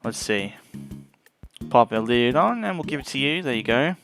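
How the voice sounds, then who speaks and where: A man's voice: mid-range voice, sing-song, moderate pace, gravelly, fairly bright tone. A man giving casual let's play commentary on a video game as its host. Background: game music.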